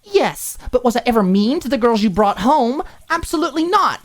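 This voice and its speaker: Woman performing angry young woman, high raised voice, sarcastic, hurt, emphatic, audiobook drama. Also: very animated, moderate pace. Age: young adult. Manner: sarcastic, emphatic rhetorical questions. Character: furious, hurt young woman roommate, ranting bitterly. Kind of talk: audiobook character acting.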